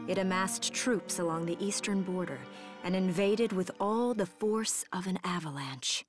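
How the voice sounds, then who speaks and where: Low-ish female game narrator over loud music. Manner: formal, measured. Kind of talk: scripted dramatic game narration. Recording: loud orchestral music behind.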